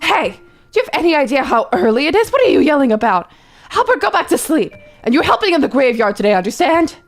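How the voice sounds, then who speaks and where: A woman's voice: high voice, sing-song, fast, soft background music. A woman playing a stern, traditional gravekeeper father in a drama, speaking in a strict, scolding manner. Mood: irritated. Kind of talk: dramatic character acting.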